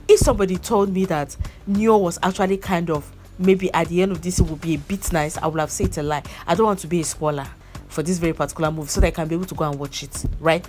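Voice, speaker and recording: A woman's voice: on the low side, darkish tone. A young woman chatting casually about a movie, repeating herself and breaking into laughs. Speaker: movie reviewer. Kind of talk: casual movie review chat.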